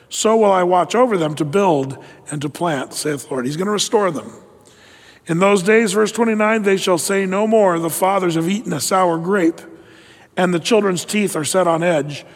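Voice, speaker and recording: A man preaching a verse-by-verse sermon, talking in a conversational way, reading scripture aloud and often restarting his sentences. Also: high-pitched. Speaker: pastor. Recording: hall reverb.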